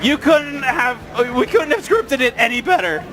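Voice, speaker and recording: A man giving excited, exclamatory live play-by-play commentary as an esports commentator. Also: very high-pitched, moderate pace. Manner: exclamatory, hyped. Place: live tournament venue. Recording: loud event hall, crowd and music. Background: cheering crowd and music.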